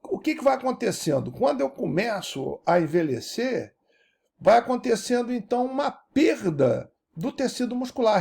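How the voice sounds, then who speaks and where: Older male lecturer, 70s, gravelly and husky, animated delivery with a wide, swooping pitch. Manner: animated teacher, keeps asking "né?" to check understanding. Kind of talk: recorded university-style lecture.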